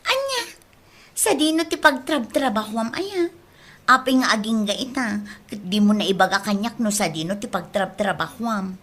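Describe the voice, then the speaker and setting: A woman's voice: mid-range voice, animated, moderate pace, fairly bright tone. A woman with an Ilocano accent acting as a suspicious young sweetheart in a radio comedy drama, questioning and complaining. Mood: suspicious, nagging.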